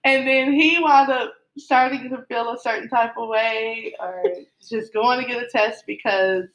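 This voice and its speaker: A woman's voice: a little high, fairly even intonation, measured pace, fairly bright tone, poor audio. Woman, middle-aged, casual storytelling, rambling, lots of restarts. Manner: rambling, many fillers and restarts, laughs easily. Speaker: guest telling her love story.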